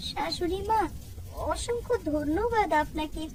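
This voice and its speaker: A woman's voice: very high voice, lively intonation, measured pace, a little mellow, full character voice. A woman voicing a meek, grateful young daughter-in-law in a children's cartoon, earnest and plaintive. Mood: grateful, shaken. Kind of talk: Bengali children's cartoon voice acting. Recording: background music under the voice.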